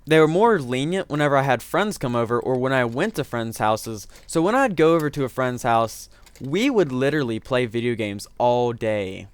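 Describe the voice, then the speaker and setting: A man's voice: medium pitch, lively intonation, normal speed, hardly any pauses, smooth, no room sound. A man giving rambling, self-correcting let's-play commentary, his voice strained from getting sick. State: voice strained from illness. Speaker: gaming commentator.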